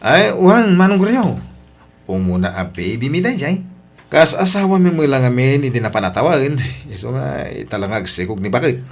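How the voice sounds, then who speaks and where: A man's voice: mid-range voice, sing-song, moderate pace, vocal fry. A man with an Ilocano accent playing an emotional husband and father in a radio drama, with emphatic, plaintive delivery. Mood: frustrated, scornful.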